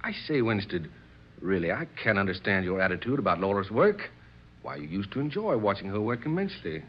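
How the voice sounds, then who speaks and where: An actor playing an excitable, courteous gentleman who is devoted to séances, in a theatrical old radio drama style with effusive, old-fashioned clubman's speech. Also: lowish voice, a little fast, a little hiss or hum. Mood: indignant, puzzled. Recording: dull old radio recording.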